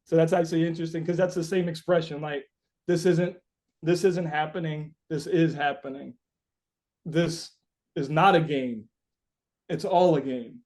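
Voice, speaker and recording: A man's voice: fairly high pitch, fairly even intonation, normal speed, darkish tone. A man being interviewed as a musician, talking reflectively and philosophically, circling back and repeating his phrases as he goes. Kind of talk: reflective, rambling interview conversation.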